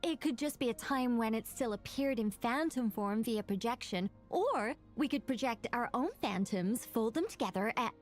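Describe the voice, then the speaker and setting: Woman as a high-voiced, rambling, eager scholarly mystic. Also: lively intonation, normal speed, few pauses, faint music behind, natural voice. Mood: absorbed, explaining. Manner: flustered, wordy, trailing off and correcting herself. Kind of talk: anime-style video game acting.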